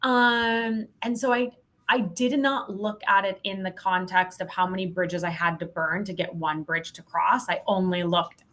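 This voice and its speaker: A woman's voice: medium pitch, steady tone, unhurried, fairly bright tone. A woman speaking as a podcast guest, telling work stories in a candid, emphatic, informal way. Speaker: podcast guest, sales consultant. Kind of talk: conversational interview storytelling.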